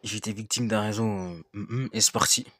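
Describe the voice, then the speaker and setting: Low-voiced man reacting, dry sound. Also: fairly quick, no echo. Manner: relaxed, repeats fillers, lectures viewers. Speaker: reaction video host. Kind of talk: casual reaction commentary.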